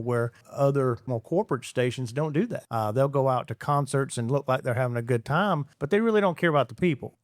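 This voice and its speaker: A man's voice: mid-range voice, no echo, studio quality. Rambling radio DJ man. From Georgia, US. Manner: rambling, repeats words, many fillers. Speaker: radio DJ guest. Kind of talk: casual interview chat.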